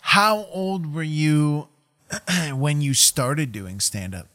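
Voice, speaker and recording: A man's voice: a little high, drawn-out words, bright, forceful tone. A man in his thirties hosting a casual interview, asking short, curious follow-up questions. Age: 30s. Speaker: interview host.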